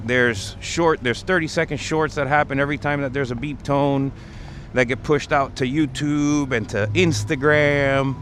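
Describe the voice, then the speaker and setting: A man's voice: medium pitch, steady tone, normal speed, fairly bright tone. A man with a mid-range voice talks casually to his livestream audience, explaining things as he goes. He is outdoors on a city street, with faint traffic and urban noise behind him. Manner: explanatory, conversational. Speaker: livestream host. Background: traffic and urban ambience.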